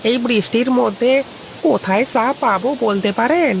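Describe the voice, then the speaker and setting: Woman doing a creaky, fretful village manservant. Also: fairly high pitch. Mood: reluctant. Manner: exclamatory, whining, rustic. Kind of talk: Bengali cartoon voice acting. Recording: loud rushing rain-like ambient noise.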